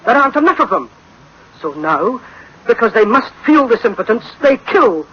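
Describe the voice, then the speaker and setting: An actor playing a worried, guilt-ridden scientist in a science fiction radio drama, speaking in tense, clipped phrases. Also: male voice, extremely high pitch, sing-song, moderate pace, pushed and bright, breathy edge, full character voice. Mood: desperate. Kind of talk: dramatic sci-fi radio acting. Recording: dull, faintly noisy old radio recording.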